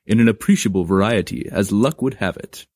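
A doting lord of the underworld as a man acts him out for a storybook. He speaks low, with polished formality and dry playfulness, in a close, dry sound. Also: a little fast, a little mellow, no room sound, lightly acted. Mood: affectionate, playful. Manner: suave, formal, drily playful.

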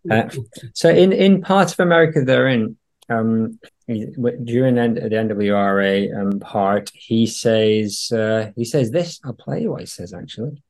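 A man's voice: on the low side, measured pace, a little mellow. A man in a casual podcast discussion, explaining his points in an assertive, wry way. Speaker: podcast panelist.

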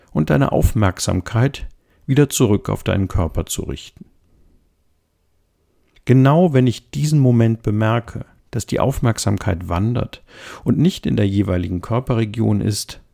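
Man, meditation guide, gentle, slow, low voice, gravelly.

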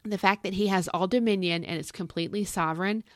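A woman's voice: medium pitch, fast talker, no echo, clean studio sound. A woman giving a casual, earnest Bible devotional in a conversational, emphatic way, her voice slightly scratchy as if starting to go. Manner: conversational, earnest, emphatic repetition of key words. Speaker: podcast host teaching the Bible.

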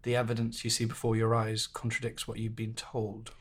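A man hosting a history podcast, interviewing in an articulate, measured, conversational way with literary phrasing. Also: on the low side, completely flat delivery, breathy edge.

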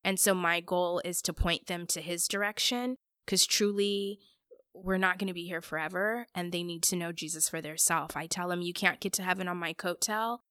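Female guest, candid and chatty, crisp bright tone, very dry sound, casual interview. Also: lowish voice, fairly even intonation, normal speed, no echo. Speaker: guest, parent. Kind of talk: casual conversational interview answers.

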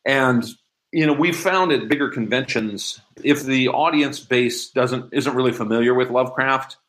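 A man's voice: medium pitch, moderate pace, a bit bright, rough recording. A middle-aged man answering interview questions in a casual, explanatory way. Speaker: interview guest, member of a hobbyist society.

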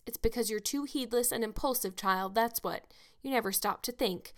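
A woman's voice: a little high, moderate pace, fairly bright tone, lightly acted. Storybook role: older village busybody, played by a woman narrator, blunt, folksy, sure of her own judgment. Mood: kindly scolding. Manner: folksy, sage, scolding. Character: blunt, opinionated, gossipy older village matron.

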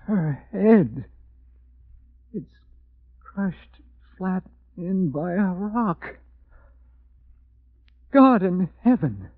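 An actress playing a horrified lodging-house keeper, halting and melodramatic in 1940s radio horror style, on a muffled old recording. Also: female voice, on the low side, expressive, exaggerated voice.

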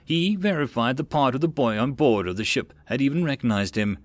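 A man voicing a measured intelligence officer in an audiobook, giving a formal, explanatory report. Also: medium pitch, animated, dry recording, natural voice.

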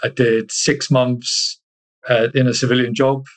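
Halting man from Iceland, mid pitch. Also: little pitch movement. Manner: halting, searching for words, repeats himself. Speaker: interview guest, army soldier. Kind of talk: casual interview chat.

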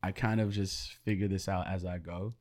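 A man's voice: low-pitched, steady tone, normal speed, a little mellow. A man in his late twenties, a podcast guest, talking in a loose, slangy, joking way. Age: late 20s. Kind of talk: casual chat.

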